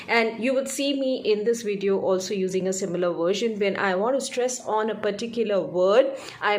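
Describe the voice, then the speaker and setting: A woman's voice: medium pitch, a little hiss or hum. A woman speaking as a communication coach, giving an informal instructional explanation, stressing key words and often checking in with a short tag question.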